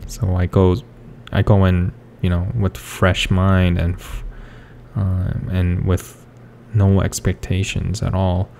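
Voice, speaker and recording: A young man from South Korea, Korean his first language, talks haltingly with a low, gravelly voice. He drifts along through many fillers and restarts. Faint hum sits behind him. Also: completely flat delivery, darkish tone. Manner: hesitant, full of fillers and restarts. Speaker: artist. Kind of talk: rambling speed-paint commentary.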